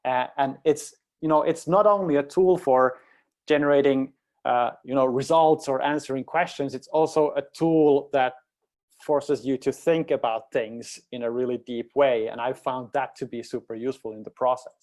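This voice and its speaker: A man's voice: medium pitch, steady tone, moderate pace, darkish tone. A man in a casual technical discussion, speaking reflectively and searching for his words. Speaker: project team member in a panel discussion.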